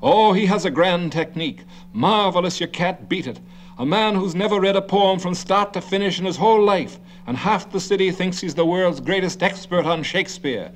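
A man's voice: high-pitched, moderate pace, slight background noise, natural voice. A man doing a fussy know-it-all old gabber. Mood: chattering. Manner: chattering, lecturing, condescending.

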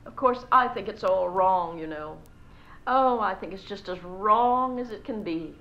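A woman's voice: mid-range voice, unhurried, a bit bright. A woman playing a gossipy, bossy society mother in a stage monologue, gushing to friends and scolding her children between sentences, on a dull old recording. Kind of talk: solo stage character monologue.

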